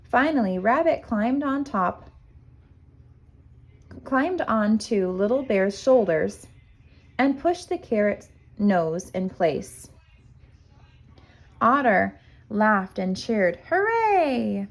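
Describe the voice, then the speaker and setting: Slow-paced woman reading a children's story. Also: mid-range voice, animated, rough recording. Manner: measured, simple delivery for young children. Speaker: kindergarten teacher reading aloud. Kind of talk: expressive children's storybook narration.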